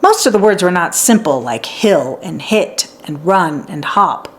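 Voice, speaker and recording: A woman narrating a children's story in an expressive read-aloud style for young listeners. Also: lowish voice, unhurried. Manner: acts out dialogue and sounds out letters.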